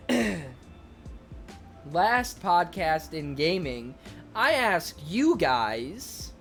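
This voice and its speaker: Excitable young man chatting on stream. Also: a little high. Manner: excitable, rambling, many fillers. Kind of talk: casual chat reading viewer comments.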